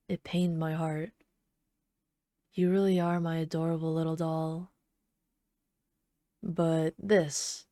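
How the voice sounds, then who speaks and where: Woman acting a mellow, obsessive yandere villainess. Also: fairly low pitch, no room sound, clean studio sound, natural voice. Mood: tender, adoring. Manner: doting, soft-spoken, possessive, taunting. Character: obsessive yandere female supervillain, sweetly menacing, adoring her captive. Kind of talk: ASMR roleplay.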